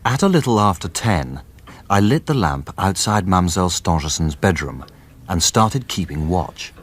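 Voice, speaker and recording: An actor playing an anxious, genteel gentleman narrating a mystery in formal, literary language as radio drama. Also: low voice, sing-song, normal speed, a little hiss or hum. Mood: growing anxiety.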